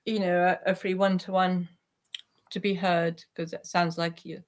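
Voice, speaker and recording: A woman's voice: lowish voice, little pitch movement, moderate pace. A woman, speaking earnestly and reflectively as an interview guest on a video call. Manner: earnest, heartfelt. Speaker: interview guest, peace activist. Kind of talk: reflective personal account in an online interview. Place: small attic room at home, indoors. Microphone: computer microphone on a video call.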